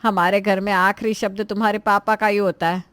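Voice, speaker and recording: A woman's voice: medium pitch, flat delivery, fairly quick, fairly bright tone, clear, resonant tone, no echo, lightly acted. Woman doing fretful mom of grown kids in a cartoon, gives in easily, mid voice, dry sound. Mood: worried, resigned. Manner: fretful, conciliatory. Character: worried, resigned mother of grown children. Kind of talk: Hindi moral-story cartoon voice acting.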